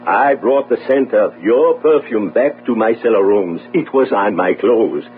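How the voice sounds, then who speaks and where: An actor playing a poor, guilt-ridden sailor in a radio drama, earnest, defensive and pleading. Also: male voice, fairly low pitch, lively intonation, a little slow. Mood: defensive confession. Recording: narrow phone-like radio audio, faint noise.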